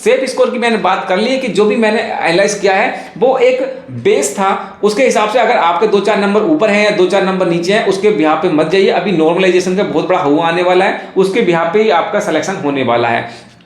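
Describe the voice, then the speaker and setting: A man with a notably high voice gives informal coaching advice. He explains step by step and often repeats key phrases. The sound is clearly roomy, with echo around the voice. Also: fairly quick. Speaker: exam coaching teacher and YouTuber. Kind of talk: informal explainer on police recruitment. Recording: echoey room.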